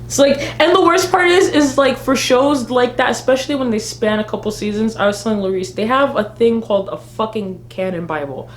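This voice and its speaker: A woman's voice: on the high side, brisk, hardly any pauses. A woman co-hosting a casual fan livestream, opinionated and blunt, swearing freely as she rants. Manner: blunt, sweary, exasperated ranting. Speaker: fan vlog co-host. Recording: roomy, slightly noisy livestream audio.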